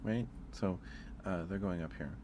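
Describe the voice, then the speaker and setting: A man hosting an ASMR livestream in a soft, whispery voice, hushed and unhurried, close to the listener. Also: low-pitched, noisy background. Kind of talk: ASMR, casual chat to live viewers.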